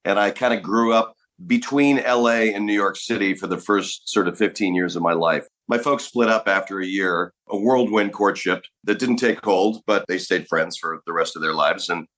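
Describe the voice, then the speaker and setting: Relaxed middle-aged man telling stories. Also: sits low, normal speed, few pauses. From Los Angeles. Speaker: guest actor. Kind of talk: casual interview chat.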